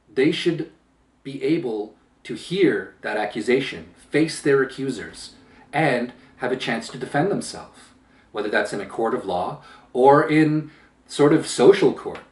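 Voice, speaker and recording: A man giving an emphatic opinion monologue as a channel host, with a powerful voice that swells louder and slow, deliberate phrasing with pauses. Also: medium pitch. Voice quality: powerful voice that gets loud when upset. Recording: roomy echo, distant from mic.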